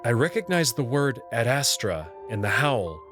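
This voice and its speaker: A man giving a measured, scripted first-person narration as he reads a visual novel. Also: mid-range voice, big pitch swings. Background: prominent music.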